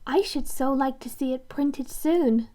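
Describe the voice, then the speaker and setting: Actress as gentle young sister, high-pitched female voice, unhurried, timid, soft, period audio drama. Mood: wistful.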